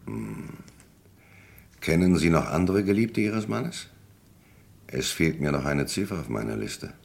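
An actor playing a dry, unhurried police commissioner in a German radio crime drama, asking measured questions. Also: male voice, extremely low pitch, big pitch swings, creaky, darkish tone, husky, exaggerated voice. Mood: probing, cool. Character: dry, unhurried male Paris police commissioner investigating a murder.

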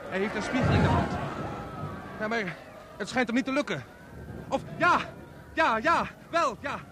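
An actor playing an excitable live broadcast commentator in a radio play, urgent and exclamatory. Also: male voice, very high voice, moderate pace. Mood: alarmed, urgent. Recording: loud noise around an outdoor-sounding commentary.

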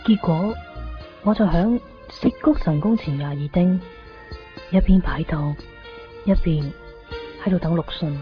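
Actress as a low-voiced, wistful young Hong Kong woman. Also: measured pace, pauses often, close to an everyday voice. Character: wistful, devoted young woman searching for her missing man. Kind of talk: radio drama first-person narration and dialogue. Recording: dull 1990s radio recording, faint music.

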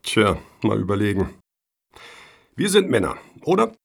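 An actor playing a self-assured, unruffled foundation official in a satirical radio play, dry and needling in manner. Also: male voice, fairly low pitch, wildly swooping pitch, unhurried, gravelly, slightly rough, full character voice. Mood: slyly assured. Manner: dry, matter-of-fact, needling.

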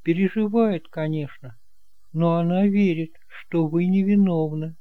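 A man playing a polite, reassuring young nephew in an amateur audio drama, speaking calmly and courteously. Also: fairly high pitch, unhurried, no room sound, narrow, tinny audio, natural voice.

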